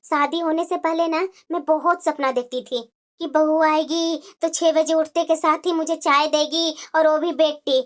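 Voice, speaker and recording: Casting: woman to play a very high-voiced cartoon mother-in-law, middle-aged, bitter, bossy, forever complaining. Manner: scolding, complaining, dramatic. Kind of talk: Hindi family cartoon dialogue.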